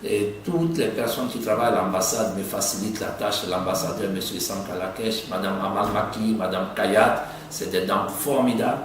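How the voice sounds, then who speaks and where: Echoey, low-voiced man in his fifties. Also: fairly even intonation, normal speed, hardly any pauses. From Côte d'Ivoire (Abidjan), of Lebanese descent. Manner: repeats phrases for emphasis. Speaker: doctor and city councillor being interviewed. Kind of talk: conversational interview answers. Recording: echoey room, distant mic, faint noise.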